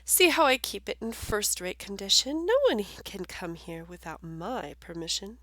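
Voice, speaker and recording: A woman's voice: mid-range voice, sing-song, moderate pace, a bit bright, no echo. A woman voicing a proud, possessive gardener in a storybook, firm in tone.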